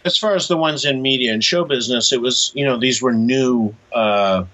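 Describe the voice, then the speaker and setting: An American man being interviewed, explaining things casually in a halting, thoughtful way. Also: medium pitch, sharp tone, low-quality recording. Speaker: interview guest, author.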